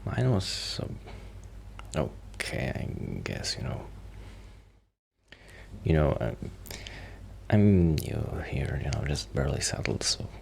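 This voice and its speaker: A man playing a shy, friendly young musician in a soft ASMR roleplay, rambling casually and hesitantly in a breathy, often whispered voice. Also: extremely low pitch, big pitch swings, slow pace, long pauses, slight background noise, heavily acted.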